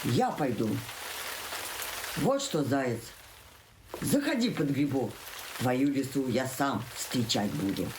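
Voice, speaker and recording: A woman voicing a gruff, steady male ant who grumbles in a low, unhurried voice. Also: background noise, heavily acted. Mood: grumbling.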